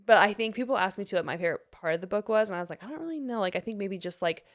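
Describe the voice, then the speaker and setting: A woman's voice: medium pitch, racing. Chatty woman slightly losing her voice. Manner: relaxed, many "like" fillers, trailing off. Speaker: podcast guest, author. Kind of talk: casual interview chat.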